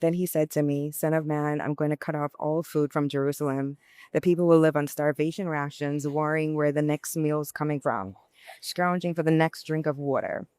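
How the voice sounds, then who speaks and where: A woman reading scripture aloud expressively, in a measured and deliberate way. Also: sits low, steady tone, darkish tone, clean tone, dry recording, studio quality. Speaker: scripture reader.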